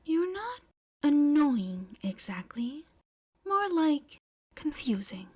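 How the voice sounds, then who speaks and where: A woman acts out a teenage schoolgirl for an audio story. The voice is high and soft, often breathy, and the delivery is polite, halting and easily flustered. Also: big pitch swings, full character voice. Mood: gently puzzled.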